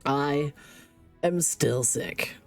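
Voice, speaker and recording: A woman's voice: sits low, drawn-out words, a little mellow, faint music behind. A woman in her thirties streaming from home with a stuffy sinus cold, rambling casually, swearing and laughing.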